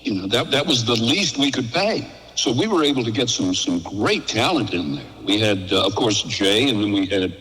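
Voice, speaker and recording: Bright-voiced older man reminiscing, long reverb. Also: on the low side, expressive, normal speed, hardly any pauses. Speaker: radio veteran, interview guest. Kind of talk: casual reminiscing chat.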